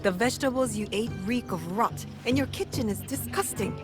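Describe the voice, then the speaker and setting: A woman playing a composed, fair-minded samurai in a video game, calm, assured and direct. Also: a little high, brisk, lightly acted. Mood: firm, composed. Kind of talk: dramatic game voice acting. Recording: loud music behind.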